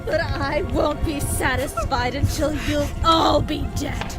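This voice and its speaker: Anguished, high-voiced vengeful young woman, loud music. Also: fairly even intonation. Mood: vengeful menace. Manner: slow, distraught, intense. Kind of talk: horror game acting. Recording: loud eerie music behind. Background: scary music.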